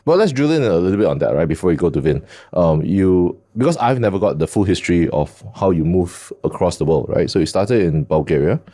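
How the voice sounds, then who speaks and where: A middle-aged man hosting a podcast who chuckles often and teases his guests, with drawn-out fillers. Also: sits low, expressive, dark tone. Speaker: podcast host.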